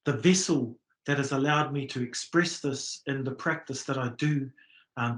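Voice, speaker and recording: Man with a New Zealand accent, middle-aged, rambling and earnest, fairly high voice, roomy echo. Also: a bit bright. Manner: rambling, many fillers and restarts, earnest. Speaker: musician guest. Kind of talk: casual storytelling interview.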